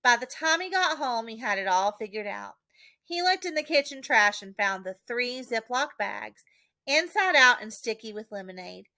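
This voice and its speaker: A woman reading a children's storybook expressively, with dramatic, deliberate emphasis. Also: fairly high pitch, sing-song, pushed and bright, dry recording. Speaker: audiobook reader, teacher.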